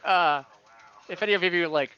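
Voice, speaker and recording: A man running an online tabletop game as game master, rambling in a relaxed way and laughing easily. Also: high-pitched, normal speed, smooth, dry recording. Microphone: home mic on video call. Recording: dull dry lo-fi audio.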